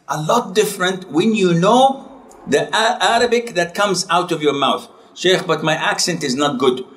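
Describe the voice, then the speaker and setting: Middle-aged male lecturer, a bit high-pitched, talks to the class in a chatty, self-correcting way. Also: a bit bright. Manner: conversational, self-correcting, asks the class questions. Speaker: Islamic lecturer.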